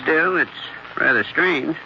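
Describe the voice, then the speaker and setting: An actor playing a polite, sympathetic family doctor, measured and quietly doubtful, in an old radio drama. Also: male voice, fairly high pitch, animated, creaky, full character voice. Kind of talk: 1940s radio acting. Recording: muffled, faintly noisy 1940s radio recording.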